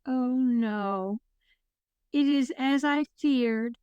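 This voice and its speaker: A woman's voice: high voice, very slow, a little mellow, clean tone, dry recording, lo-fi sound. A woman playing a gracious, solemn, motherly queen in a fantasy audio play, speaking in a stately, formal way. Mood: grave.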